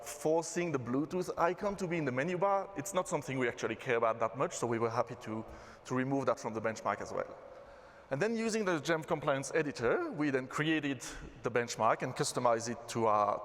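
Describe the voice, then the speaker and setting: A man from Belgium with a Belgian accent, giving a relaxed conference talk as a systems engineer. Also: mid-range voice. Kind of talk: conference presentation. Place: conference hall. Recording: reverberant hall.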